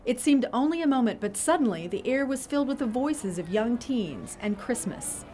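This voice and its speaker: A woman's voice: a little high, expressive, normal speed, over music. A woman giving scripted storytelling narration as the narrator of an audio theater piece.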